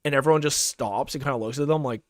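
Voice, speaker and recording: A young man from Canada chats loosely while he plays, telling long stories packed with "like" and "um". He breaks off now and then to answer chat messages. His voice sits at an ordinary middle pitch for a man. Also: fast talker, dry recording, studio quality. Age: young adult. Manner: rambling, filler-heavy storytelling, reads chat aloud. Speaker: streamer. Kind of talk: casual live-stream chat while gaming.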